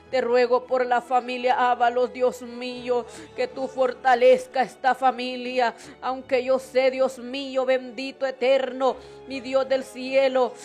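Very high-pitched voice in fervent prayer, monotone. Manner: pleading, repetitive invocations of God. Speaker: worshipper leading intercessory prayer.

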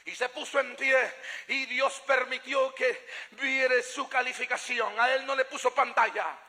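Guy preaching a fervent testimony, really high piercing voice, repeats stuff for emphasis, big echoey hall. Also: little pitch movement, measured pace, sharp tone. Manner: emphatic, repeats phrases, acts out dialogue. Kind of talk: fervent sermon testimony, dramatic storytelling. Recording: echoey hall, long reverb.

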